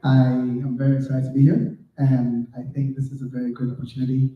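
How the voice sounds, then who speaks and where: A man from Nigeria who teaches dance, introducing himself politely and in a measured way on a panel. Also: medium pitch, monotone. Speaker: salsa and bachata teacher. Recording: dull, echoey, distant room sound.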